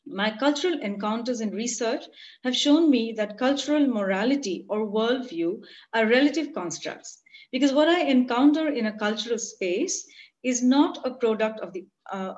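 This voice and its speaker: A woman in her forties with an Indian accent, giving a prepared academic conference talk in a measured, earnest way over a video call. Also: a little high, lively intonation, darkish tone. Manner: measured, earnest, read from notes. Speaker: conference presenter. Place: home room, video call. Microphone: computer mic on a video call. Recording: muffled lo-fi video call audio.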